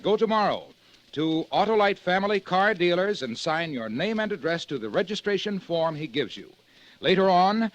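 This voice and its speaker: A male announcer with a high-pitched voice delivers a vintage radio commercial. He is emphatic and salesmanlike, in a scripted 1950s style. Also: fairly bright tone, highs cut off. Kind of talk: 1950s radio advertising read.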